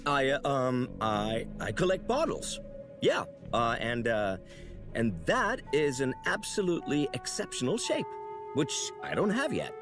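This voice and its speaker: Eager, animated young angel. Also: male voice, medium pitch, unhurried, over music. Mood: flustered. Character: young male apprentice angel, eager, cheeky and polite. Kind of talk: video game character dialogue.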